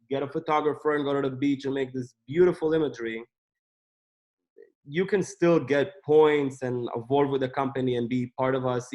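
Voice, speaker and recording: A man, a company co-founder, explaining his business in a relaxed, casual interview. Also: medium pitch.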